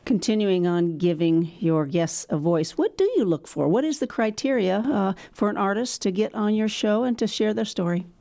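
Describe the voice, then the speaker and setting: A woman's voice: medium pitch, animated, normal speed, vocal fry, few pauses, mellow. A woman interviewing a guest, listening closely and murmuring along often. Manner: attentive, frequent backchannel murmurs. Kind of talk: casual interview.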